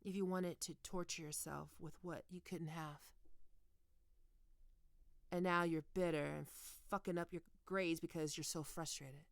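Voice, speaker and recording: A woman playing a stern, teasing goth college professor in an ASMR roleplay, speaking deliberately and condescendingly into a close microphone. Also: lowish voice, fairly even intonation, no echo. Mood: knowing, accusing. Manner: condescending, taunting, deliberate with pauses. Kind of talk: ASMR roleplay, scripted monologue to listener.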